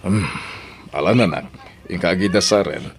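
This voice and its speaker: A man's voice: deep voice, moderate pace, vocal fry, slight background noise. A man playing an affectionate middle-aged father, tender and emotive, in Ilocano audio drama style. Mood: fond.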